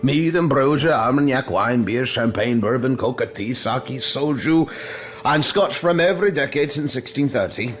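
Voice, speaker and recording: Male actor as old tavern keeper, jovial and teasing, swooping expressive pitch, audio drama, music underneath. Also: moderate pace, natural voice. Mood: hearty, welcoming. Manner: jovial, folksy, theatrical. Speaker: barkeep.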